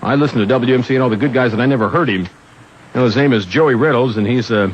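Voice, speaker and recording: A man's voice: medium pitch, quick pace. A man with an American accent hosting a morning zoo radio show with chatty banter. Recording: muffled, noisy old radio aircheck tape.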